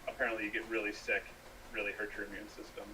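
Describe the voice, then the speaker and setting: A man's voice: lowish voice, monotone, fast. Middle-aged man, slightly distant recording. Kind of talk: personal testimonial in a video appeal. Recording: distant mic, faint noise, played-back video.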